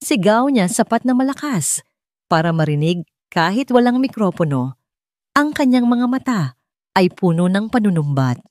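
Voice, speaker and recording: A woman's voice: medium pitch, very animated, moderate pace, a little mellow, no echo, clean studio sound. A woman with a Filipino accent reading a dramatic scripted story as a narrator.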